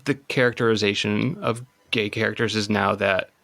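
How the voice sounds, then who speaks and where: Low, gravelly male podcast guest. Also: fairly even intonation, fairly bright tone. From suburbs of Chicago. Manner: thoughtful, measured. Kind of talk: casual chat.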